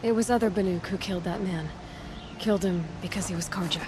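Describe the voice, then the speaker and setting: A woman's voice: lowish voice, quick pace, noisy background, natural voice. Determined young huntress played by a woman. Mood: firm, earnest.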